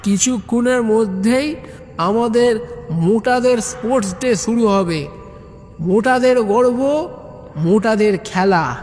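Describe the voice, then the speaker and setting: Male narrator acting a pompous fat club president, high voice, plaintive, halting, self-important, comic audio story, reverberant hall sound. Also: a little mellow, faint music behind. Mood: proud, announcing.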